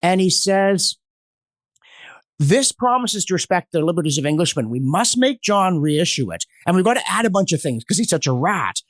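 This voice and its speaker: Higher-pitched man, emphatic storyteller. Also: big pitch swings, normal speed, no room sound, studio quality. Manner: emphatic, earnest, digressive, acts out quotes. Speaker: guest commentator on history. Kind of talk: animated storytelling in a podcast discussion.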